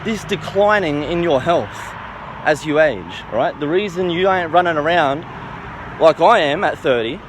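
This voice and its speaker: A man's voice: fairly high pitch, expressive, a little slow, noisy background. A man around thirty giving an informal opinion monologue, emphatic and self-assured, repeating his points and often checking for agreement. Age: 29, turning thirty. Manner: emphatic, self-assured, repetitive, seeking agreement with "okay" and "right". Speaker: self-styled health and philosophy educator.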